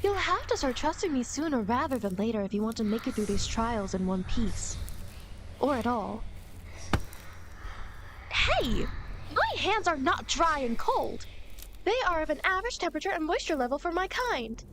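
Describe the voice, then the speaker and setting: Girl voice actor doing teasing demon lady asmr roleplay, high n bouncy, smug, bit of background noise. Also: sing-song, normal speed. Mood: teasing, reassuring, then indignant, then curious. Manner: teasing, smug, mock-indignant. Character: playful, teasing, vain female demon guide of the afterlife.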